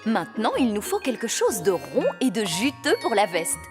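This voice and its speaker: A woman's voice: medium pitch, big pitch swings, normal speed, lightly acted. A woman voicing a bossy, short-tempered fairy nanny in a children's cartoon, haughty and theatrical. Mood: brisk. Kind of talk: French-dubbed children's cartoon acting. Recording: hall-like reverb with music behind.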